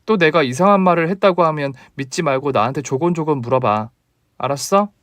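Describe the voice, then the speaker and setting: A man's voice: on the high side, fairly quick, fairly bright tone, dry recording, voice pushed up, natural voice. A man voicing a young wife who is polite to her in-laws but stands firm and indignant, in a raised, lighter voice.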